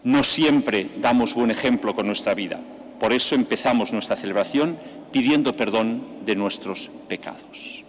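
An older man, a priest, leading mass with a slow, measured liturgical delivery into a stand microphone in a large church. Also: mid-range voice. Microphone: stand mic at the altar. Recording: long church reverb, faint background noise.

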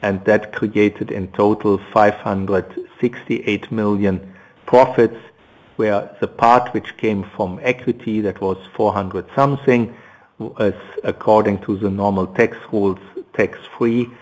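Slow-paced, low-voiced man presenting results. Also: fairly even intonation, a little mellow, rough recording. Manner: halting, hesitant delivery with repeated words. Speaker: company finance executive presenting quarterly results. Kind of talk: prepared results presentation to analysts, delivered loosely. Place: analysts' conference call, slides shown.

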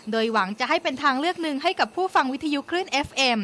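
Bright, high-pitched female feature narrator. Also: animated, racing. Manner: polite, polished presenter delivery. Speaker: news feature reporter, voice-over narrator.

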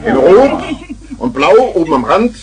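An actor playing an excitable, boastful eccentric uncle who tells rambling tall tales in a 1970s German children's radio play. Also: male voice, high voice, a little slow, crowd noise. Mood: excited storytelling. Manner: theatrical, rambling storyteller.

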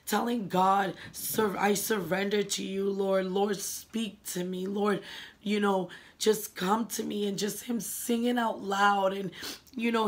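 A young woman speaks in a raspy, hoarse voice, worn down by a cold. She talks earnestly and emotionally, gesturing as she shares her faith into a close webcam in a living room. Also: mid-range voice. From New England. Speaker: vlogger. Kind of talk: personal faith vlog.

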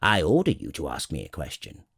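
A man's voice: a bass voice, huge pitch swings, fairly quick, creaky, fairly bright tone, slightly rough, no echo, pitched below the performer's own voice, full character voice. Pompous old-world monarch, played by a male narrator: imperious, emphatic, hugely varied intonation, dry close recording. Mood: majestic, assured. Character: pompous, kindly absolute king, vain but reasonable.

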